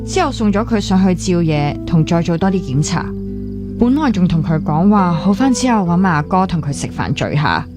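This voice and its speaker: A woman's voice: on the low side, very animated, fairly quick, over music. A woman with a Hong Kong Cantonese accent acting a caring young hospital worker in a radio drama, speaking earnestly and conversationally with some English words mixed in. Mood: reflective, concerned. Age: young adult.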